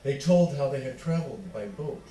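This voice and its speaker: Male reader for literary fiction: relaxed, mellow timbre, measured phrasing, recorded at a distance in a reverberant room. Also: medium pitch. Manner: measured, deliberate phrasing with pauses. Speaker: author reading own work. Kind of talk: literary reading of narrative fiction. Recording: roomy, distant miking with faint noise.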